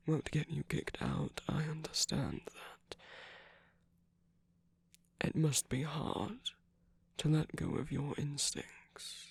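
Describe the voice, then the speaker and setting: A performer voicing a commanding yet tender, possessive villain in an ASMR roleplay, speaking deliberately in a husky voice that often drops to a whisper. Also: mid-range voice, dry recording, full character voice. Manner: slow, deliberate, coaxing, with long pauses. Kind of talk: ASMR roleplay, scripted intimate monologue.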